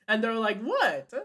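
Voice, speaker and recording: A man's voice: very high voice, bright, forceful tone. A man from Puerto Rico co-hosting a podcast in casual, slangy chat, swearing freely and acting out dialogue. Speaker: podcast co-host.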